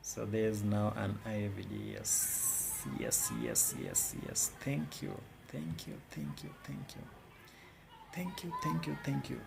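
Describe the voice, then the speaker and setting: Slow, gravelly Kenyan man. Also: fairly low pitch, very animated, background noise. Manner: repeats words and phrases, mixes in Swahili. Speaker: day trader. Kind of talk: casual live trading commentary.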